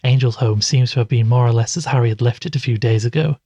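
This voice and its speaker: A man playing a sceptical, weary investigator in an audio drama, measured and questioning as he talks and narrates. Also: mid-range voice, mellow, highs cut off, slight background noise, close to an everyday voice. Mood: sombre narrating. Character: sceptical, weary male investigator recounting strange events.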